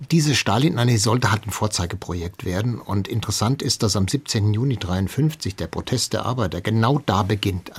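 A man's voice: fairly low pitch, husky. A man speaking as an expert guest, explaining history in a conversational interview.

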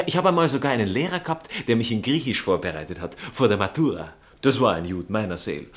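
An Austrian baron, a man of narrow views and snobbish temper. His voice swings widely in pitch as he drawls with colloquial condescension, in the manner of satirical stage comedy. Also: fairly bright tone. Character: snobbish, narrow-minded aristocratic baron, amused fiancé.

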